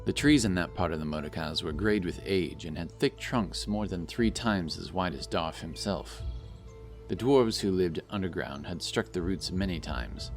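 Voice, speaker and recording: A man reading scripted fantasy fiction as a dramatic audiobook narrator. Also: deep voice.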